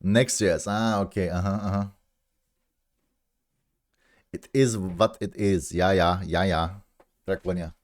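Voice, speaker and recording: German-accented guy in his 30s, lowish voice, rambly streamer talk with chuckles. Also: steady tone, slow pace, pauses often. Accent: German-accented English. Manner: rambling, chuckles at himself, many restarts. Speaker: live-stream host. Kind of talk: casual chat with viewers.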